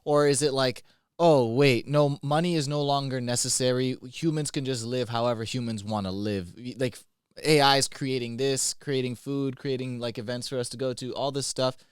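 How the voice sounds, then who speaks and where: Quiet guy wondering out loud on a podcast, smooth voice, dry close sound. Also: medium pitch, fairly even intonation, normal speed, no echo. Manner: quiet, soft-spoken, questioning. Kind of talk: casual podcast chat.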